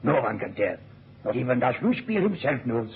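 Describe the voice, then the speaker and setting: An elderly European count for a radio play. His voice is extremely husky and swings about as he chuckles and dotes. The recording is narrow and phone-like. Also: medium pitch, very animated, moderate pace, exaggerated voice. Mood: doting, amused. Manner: chuckling, doting, repetitive. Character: elderly European count, doting parrot owner. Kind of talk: radio drama acting.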